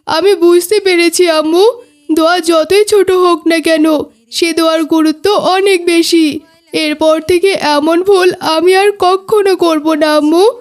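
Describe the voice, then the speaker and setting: A woman's voice: very high voice, fairly even intonation, a little slow. A woman voicing an earnest, apologetic schoolboy in a cartoon, speaking in formal, careful phrases. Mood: remorseful. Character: remorseful, pleading schoolboy who has hurt himself. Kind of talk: cartoon dialogue.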